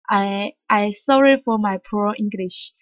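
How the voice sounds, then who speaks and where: A woman streamer from Taiwan, chatting playfully and halting when speaking English. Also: medium pitch, no room sound, dull audio. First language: Mandarin. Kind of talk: live game stream chat.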